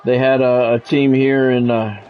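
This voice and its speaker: A man's voice: mid-range voice, flat delivery, unhurried, narrow, tinny audio, a little hiss or hum. A middle-aged American man streaming a sports video game, mixing casual chat with punchy announcer-style play-by-play. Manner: conversational, switching into punchy announcer-style calls.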